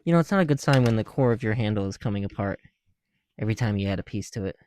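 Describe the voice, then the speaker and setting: A tired man speaking softly and casually in an ASMR style, musing to himself as he works. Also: lowish voice, animated, racing, slight background noise. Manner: hushed, musing to self while counting pieces. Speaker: ASMR video creator. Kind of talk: soft-spoken ASMR, casual chat while building.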